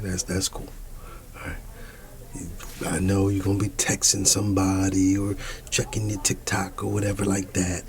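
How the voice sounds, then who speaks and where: A man doing a soft-spoken ASMR roleplay as an easygoing, quirky schoolteacher, often dropping into a whisper and repeating short, folksy, reassuring phrases. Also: low voice. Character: quirky, easygoing male schoolteacher addressing his class. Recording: clearly audible background noise.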